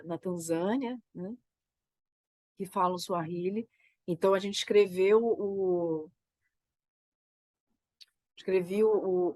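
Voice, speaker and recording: A woman with a Brazilian Portuguese accent, a theater creator getting over a cold, talking about her work in a reflective, halting way and often tagging her sentences with "né?". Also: fairly low pitch, darkish tone, no echo. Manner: thoughtful, halting, frequent "né?" tags. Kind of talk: reflective conversation.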